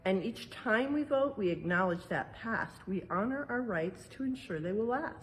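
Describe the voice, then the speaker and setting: A woman's voice: medium pitch, animated, moderate pace, a little hiss or hum, poor audio. A woman with an American accent reading a picture book aloud and explaining it simply for young listeners as a museum educator. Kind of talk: read-aloud with explanatory asides.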